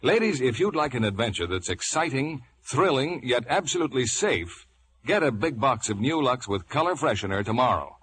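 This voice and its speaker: A man announcing in 1950s radio advertising style, with a polished, emphatic sales pitch. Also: sing-song, normal speed, a bit bright, a little hiss or hum.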